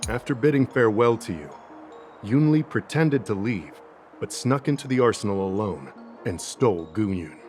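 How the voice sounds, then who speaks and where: A man's voice: lowish voice, lively intonation, moderate pace, natural voice. An actor voicing a methodical, earnest male official working through a case in a game cutscene, reasoning step by step. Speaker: investigating official in a video game. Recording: game audio with soft music behind.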